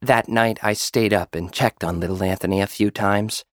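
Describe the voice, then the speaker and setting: A man narrating a first-person science-fiction audio drama in a dry, deadpan manner. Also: lowish voice, moderate pace, dry recording.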